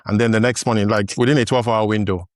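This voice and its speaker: A man with a fairly low voice hosts a relaxed podcast chat. He talks thoughtfully, circling back with fillers and restarts and laughing easily. The sound is dry and close. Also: fast, dry recording. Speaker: podcast host. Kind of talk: casual conversation.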